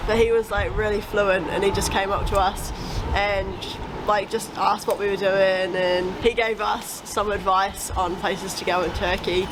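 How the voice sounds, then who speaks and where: A woman's voice: medium pitch, little pitch movement, measured pace. A young woman, narrating a travel vlog in an upbeat, chatty way with casual fillers, recorded outdoors on a handheld camera. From New Zealand. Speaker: travel vlogger. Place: outdoors in a city. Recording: street noise outdoors.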